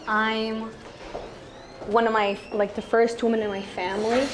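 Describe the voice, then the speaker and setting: A woman's voice: a little high, little pitch movement, a little slow, background noise. A young woman who works in aviation, answering interview questions in a casual way with a few fillers. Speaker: aviation mechanic.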